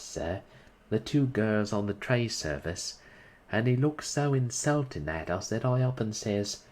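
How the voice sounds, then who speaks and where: A man's voice: fairly low pitch, darkish tone, pitched above the performer's own voice. A man voicing a blunt young Cockney servant who drops his aitches. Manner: dropped aitches, rough working-class speech.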